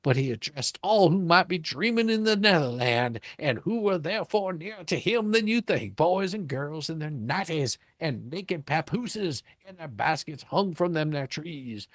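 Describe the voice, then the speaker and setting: A man's voice: fairly high pitch, lively intonation, moderate pace, hardly any pauses, dry recording, clean studio sound. A man reading a storybook dramatically, with theatrical delivery and playful, folksy, ungrammatical embellishments. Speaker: reader, storyteller.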